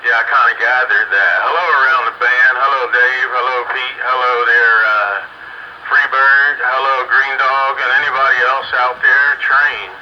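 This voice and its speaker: Male radio operator, medium pitch, casual chat over a noisy CB transmission. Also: steady tone, moderate pace, lo-fi sound. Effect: two-way radio transmission. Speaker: base station radio operator.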